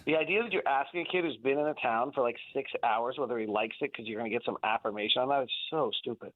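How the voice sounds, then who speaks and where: A man's voice: mid-range voice, quick pace, no room sound. A man giving conversational basketball analysis as a guest on sports radio, talking analytically and repeating words for emphasis. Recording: thin phone-like band-limited line.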